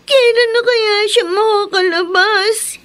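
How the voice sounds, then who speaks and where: A woman's voice: very high-pitched, steady tone, a little slow, a bit bright. A woman with a Filipino accent playing a tearful young schoolgirl who misses her father in a radio drama. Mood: tearful, pleading.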